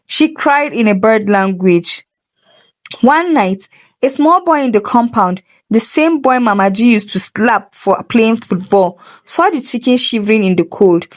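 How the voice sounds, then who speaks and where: A woman's voice: a little high, lively intonation, a little slow. A woman with a Nigerian accent telling a folktale as a dramatic storyteller. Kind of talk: dramatic folktale narration with character voices.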